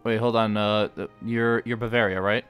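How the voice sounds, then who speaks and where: A man's voice: fairly low pitch, steady tone, moderate pace, fairly bright tone, clear, resonant tone. A man streams a strategy game and chats with other players in a hesitant, polite way, often restarting his sentences. Faint music plays behind him. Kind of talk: casual multiplayer voice chat.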